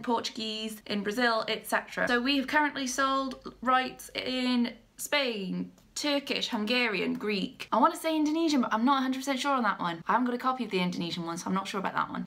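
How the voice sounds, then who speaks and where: Young woman vlogging, high-ish voice, bubbly and chatty, answers fan questions quick. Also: sharp tone. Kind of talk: casual Q&A vlog.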